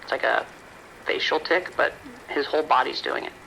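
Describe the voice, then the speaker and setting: Man playing tense, sharp-voiced patrol deputy. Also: on the high side, normal speed, creaky, slight background noise, full character voice, low-quality recording. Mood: uneasy. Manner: terse radio reports, tense. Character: male patrol deputy, uneasy, bewildered, obedient. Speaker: police deputy. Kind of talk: audio drama acting. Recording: radio calls with rain behind.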